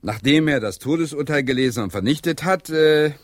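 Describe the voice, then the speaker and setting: A male actor plays an eager playwright and troupe leader in a radio drama. His delivery is theatrical and restless, with pitch that swings widely. The sound is dry and close, as he declaims to his company. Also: animated, brisk, no room sound, close to an everyday voice. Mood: eager, scheming. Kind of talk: German radio play acting.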